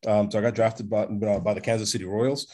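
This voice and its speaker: A man, a podcast guest telling long stories in a relaxed interview. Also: on the low side, fairly quick. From North America. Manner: expansive storyteller, checks in with "right?". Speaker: podcast guest, sports agent. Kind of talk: casual interview chat.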